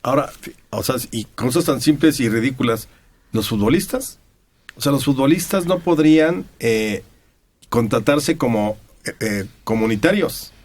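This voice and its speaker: A Mexican man talking on a radio panel, hesitant and filling pauses with fillers. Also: mid-range voice, expressive. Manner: hesitant, fills pauses with "eh" and "o sea".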